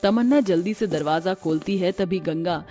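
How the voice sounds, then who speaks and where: A woman's voice: fairly low pitch, normal speed, warm tone, faint music behind. A woman reading scripted narration as the storyteller of a family tale.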